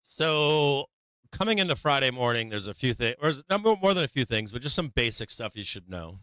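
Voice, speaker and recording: A hoarse middle-aged man hosting a casual stock market livestream, rambling candidly and swearing now and then as his voice gives out. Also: mid-range voice, very animated, a little fast, sharp tone, no echo, low-quality recording.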